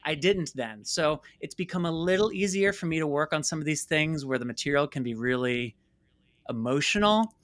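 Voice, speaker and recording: Earnest american guy in an interview, kinda high voice, dry close sound, leans on words. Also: animated, fairly bright tone, no echo. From United States. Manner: earnest, emphatic, with repeated words and pauses for stress. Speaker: interview guest, documentary filmmaker. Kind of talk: reflective personal storytelling in conversation.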